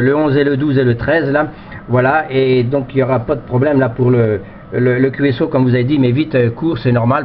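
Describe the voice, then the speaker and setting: A man talking casually as an amateur radio operator, repeating himself in stop-start phrases over a two-way radio link. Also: medium pitch. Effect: two-way shortwave radio transmission. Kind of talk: casual radio contact chat. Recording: muffled radio signal with static.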